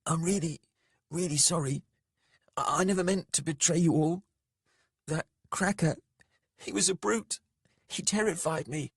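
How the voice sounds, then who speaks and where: A man voicing a timid, fragile young lad in a fantasy audiobook, gentle, hesitant and wavering. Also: a little high, a little fast, hardly any pauses, husky, no room sound, clean studio sound, voice pushed up. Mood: fearful, apologetic.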